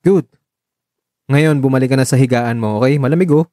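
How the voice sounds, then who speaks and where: A man playing a caring, gently teasing young man in love in a romantic radio drama, speaking in an expressive, coaxing way. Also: medium pitch, a little fast, no room sound, studio quality, close to an everyday voice. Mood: gently reassuring.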